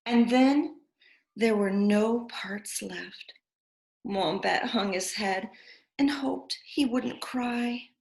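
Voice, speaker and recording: A woman reading a picture book aloud to young children with expressive storytelling. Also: fairly high pitch, extremely slow, creaky. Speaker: preschool storyteller. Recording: echoey room.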